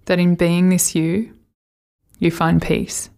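A woman leading a guided meditation, giving gentle, soothing instructions with unhurried pauses. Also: lowish voice, completely flat delivery, gravelly, clean tone.

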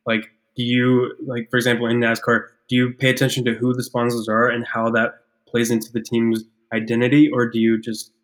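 Soft-spoken Ecuador-born man, flat delivery. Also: mid-range voice, a little fast, poor audio. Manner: thoughtful, stammers and restarts often. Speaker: podcast co-host, clothing brand founder. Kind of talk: casual chat.

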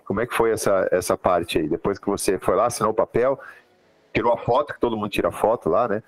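A man's voice: on the low side, quick pace, no echo. A man hosting a podcast, chatting and asking questions in a slangy, easygoing way. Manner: slangy and chatty, lots of "cara" and "pô". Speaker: podcast host. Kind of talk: casual podcast interview.

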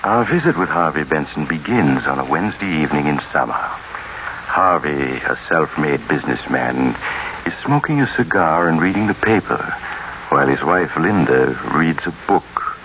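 A man's voice: rumbling low, animated, moderate pace, sharp tone, heavily acted. An actor playing a suave, sinister mystery host in old-time radio style, theatrical and teasing as he addresses the listener. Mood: sly menace. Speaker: host and narrator. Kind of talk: 1940s radio mystery narration. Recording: noisy, muffled old-time radio recording.

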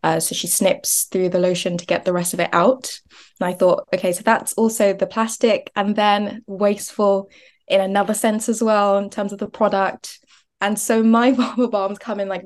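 A young woman from Nigeria talking chattily as a podcast guest, often laughing and restarting words. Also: mid-range voice. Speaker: guest, business founder. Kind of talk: podcast interview.

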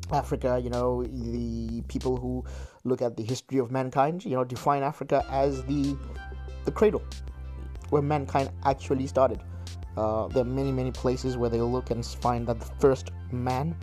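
A man's voice: mid-range voice, level tone, normal speed, mellow, soft background music. A man from Zimbabwe hosting a solo podcast, talking earnestly with many restarts and repeated words. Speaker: podcast host. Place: United Kingdom.

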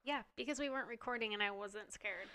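A woman's voice: fairly high pitch, fast, pushed and bright, dry recording, studio quality. A woman with a stuffed-up nose, co-hosting a podcast in casual, giggly chat. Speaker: podcast co-host.

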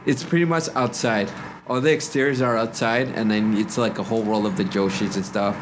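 A man's voice: fairly low pitch, fairly even intonation, fairly quick. A man co-hosting a casual chat podcast, rambling with plenty of filler words and swearing. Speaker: podcast co-host. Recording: noisy background hiss.

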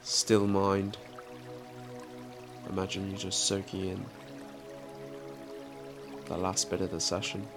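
Slow, congested man guiding a meditation. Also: deep voice. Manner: calm, unhurried counting and instruction. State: congested from a head cold. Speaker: meditation guide and podcast host. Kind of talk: guided breathing meditation. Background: soft background music.

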